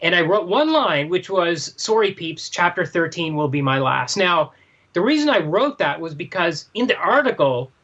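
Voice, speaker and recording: A man chatting casually as a podcast co-host, telling an anecdote in a halting way, often repeating phrases and quoting himself. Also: high voice, big pitch swings, fairly bright tone, muffled recording. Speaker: podcast co-host and manga translator.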